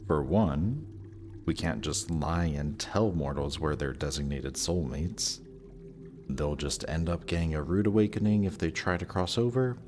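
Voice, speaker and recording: A man's voice: rumbling low, measured pace, a little mellow, slight background noise. A man playing a death god's smug, lovestruck young son in a romantic audio roleplay, talking casually and teasingly to the listener. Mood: persuasive, casual.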